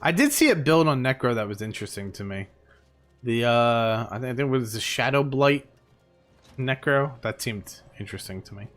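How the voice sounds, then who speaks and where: Tired, chatty streamer guy with lively pitch. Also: moderate pace, soft background music. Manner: rambling, chatty, lots of fillers. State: tired, losing his voice. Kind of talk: casual live-stream chat.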